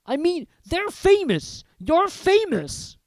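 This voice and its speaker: Reader doing big gruff storybook giant, hot tempered, goes loud and high, dry close sound. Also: sing-song, slow, dry recording, full character voice. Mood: outraged. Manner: booming, loud outbursts in rural dialect. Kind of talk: audiobook character reading.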